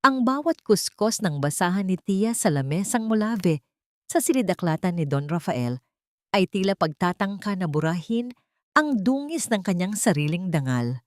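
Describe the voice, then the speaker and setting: A woman with a Filipino accent narrating a dramatic audiobook with expressive storytelling. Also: on the low side, moderate pace, no echo, studio quality.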